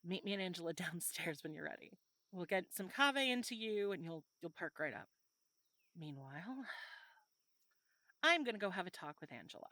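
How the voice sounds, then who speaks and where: A woman playing a friendly, teasing medieval fantasy tavern local in an audio roleplay, talking in a conversational, gently instructive way to a newcomer. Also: fairly low pitch, lively intonation, moderate pace, long pauses, no room sound. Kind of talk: audio roleplay acting, adventure ASMR.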